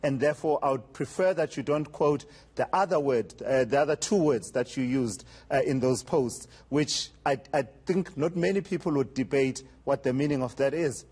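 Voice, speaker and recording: A man interviewing a guest on television, pressing his points in a measured way with frequent fillers. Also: mid-range voice, darkish tone, husky. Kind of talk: formal TV interview.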